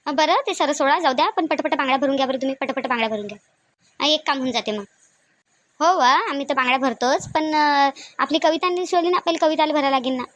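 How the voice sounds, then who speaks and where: A woman's voice: sits high, quick pace, a bit bright. A woman voicing chatty, bossy village women in a Marathi cartoon comedy, with a Varhadi accent and a colloquial, nagging manner. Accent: Varhadi Marathi of Vidarbha.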